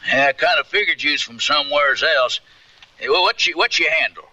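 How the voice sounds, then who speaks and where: Folksy cowpoke with Western drawl. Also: male voice, fairly high pitch, fairly quick, sharp tone, far from the mic, highs cut off, a little hiss or hum. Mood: friendly, teasing. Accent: American Western drawl. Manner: colloquial, drawling cowboy slang. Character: folksy old-west cowpoke, brash, teasing, good-natured drifter. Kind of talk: radio drama acting.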